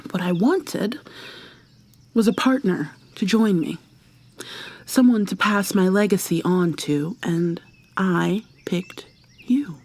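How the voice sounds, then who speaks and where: A woman playing an obsessive, coldly possessive killer in an intimate ASMR roleplay, soft and often whispery, with a deliberate, mock-sweet, threatening manner. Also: medium pitch. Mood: possessive threat. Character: obsessive, menacing female serial killer lover, coldly possessive.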